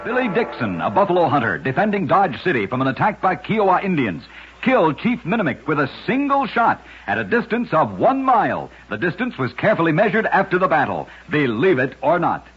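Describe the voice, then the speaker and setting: A man hosting short radio tales of oddities, reading scripted narration in a measured old-time style with emphatic sign-offs. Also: a little high, wildly swooping pitch, fairly bright tone, slightly rough. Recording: dull, lo-fi old radio broadcast.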